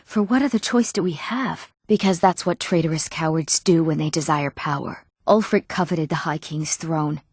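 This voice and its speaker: A woman acting an eager, earnest young woman in a fantasy video game, speaking her lines clearly and a little theatrically. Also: low voice, moderate pace, few pauses, a little mellow, dry recording, clean studio sound. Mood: formal, resolute. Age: young adult. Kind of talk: scripted fantasy game dialogue.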